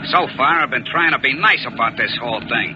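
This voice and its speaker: A man's voice: medium pitch, animated, a little fast, lightly acted. A man playing a tough, mocking space gangster with slangy talk in a 1950s radio serial. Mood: cocky, threatening. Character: tough, sneering space gangster hijacker, menacing. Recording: noisy, muffled old 1950s radio recording.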